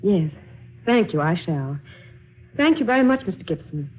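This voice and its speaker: A woman's voice: medium pitch, big pitch swings, moderate pace, phone-quality sound, natural voice. An actress playing a polite, earnest young woman applying for an office job, in a 1940s radio drama style. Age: young adult. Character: polite, earnest 22-year-old female office job applicant. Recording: thin, noisy old radio recording.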